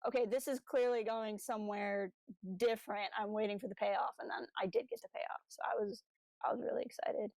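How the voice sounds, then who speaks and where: A woman with a fairly high voice hosts a casual fan discussion podcast. She talks enthusiastically, like an excited fan, slipping into little acted-out quotes. The sound is dry and close. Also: fairly quick, gravelly, no room sound, poor audio. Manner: animated fangirl enthusiasm, acting out imagined dialogue. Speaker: podcast host. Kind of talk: casual chat about a fan audio drama.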